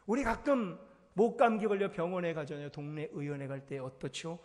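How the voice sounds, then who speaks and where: A male pastor preaching a sermon in a church, lively and acting out small scenes as he goes. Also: a little high, fairly quick. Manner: animated, acts out little scenes and quotes. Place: church sanctuary. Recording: long church-hall reverb.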